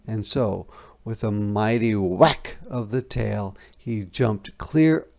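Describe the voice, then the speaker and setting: A storybook reader giving an expressive, deliberate, dramatic read-aloud narration for children. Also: mid-range voice, gravelly.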